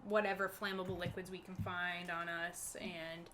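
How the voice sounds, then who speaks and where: A woman's voice: on the low side, level tone, normal speed, sharp tone. A woman playing a tabletop game, chatting casually as she plans with the group. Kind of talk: casual planning chat. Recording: noisy room recording.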